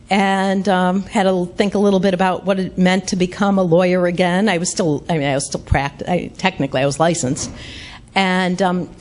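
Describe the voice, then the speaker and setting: A middle-aged woman speaks in a low, big voice into a handheld mic. She tells her career story to a business audience and laughs at herself along the way. The room is a meeting hall. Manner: self-deprecating, laughs through anecdotes. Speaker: guest speaker, lawyer.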